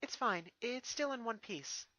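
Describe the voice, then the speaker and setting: Cartoon pony princess, man performing: young, studious, high voice, cautious yet curious, earnest delivery. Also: animated, a little slow, bright, forceful tone, exaggerated voice. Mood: reassuring. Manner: earnest, reasoning aloud.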